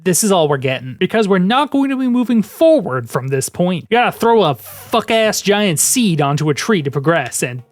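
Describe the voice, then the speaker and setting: A narrator giving scripted comedic commentary over gameplay, deadpan and sarcastic, swearing casually. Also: medium pitch, normal speed, hardly any pauses, no room sound. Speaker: video essay narrator.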